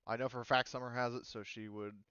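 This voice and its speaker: A man's voice: mid-range voice, little pitch movement, fast, bright, forceful tone, clean tone, no room sound, muffled recording. A man casually explaining tabletop game rules as game master, thinking aloud and hedging as he goes.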